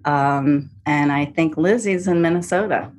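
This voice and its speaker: A woman co-author answering interview questions in a measured way. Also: sits low, a little mellow. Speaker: guest, co-author.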